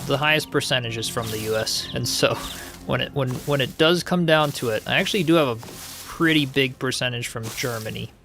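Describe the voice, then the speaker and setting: A man's voice: mid-range voice, vocal fry. Male streamer, easygoing and rambling, mid-range voice, faint music underneath, reads his chat as he builds. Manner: relaxed, rambling, reads chat aloud, frequent likes and you-knows. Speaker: game streamer. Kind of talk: casual live-stream chat while building in a game.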